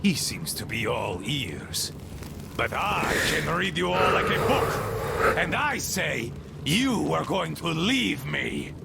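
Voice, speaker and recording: An actor playing a bitter, commanding, eccentric old boatman in a video game, with a gravelly voice and a theatrical, grumbling way of rambling. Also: fairly high pitch, big pitch swings, slow pace, very noisy, heavily acted. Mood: bitter, accusing. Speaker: game character, leader of a small river crew. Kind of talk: dramatic video game monologue.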